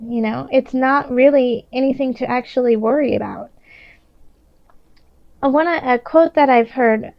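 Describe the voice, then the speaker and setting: A woman's voice: a little high, darkish tone, no echo, lo-fi sound. A woman giving a casual, improvised tarot reading in a conversational, reassuring way, often restarting her thoughts. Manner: reassuring, encouraging. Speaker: tarot reader.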